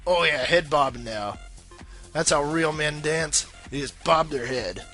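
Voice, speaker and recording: A man's voice: fairly high pitch, animated, unhurried, sharp tone, soft background music. A young man giving casual, rambling gameplay commentary in an informal way, swearing freely. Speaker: video game let's play host.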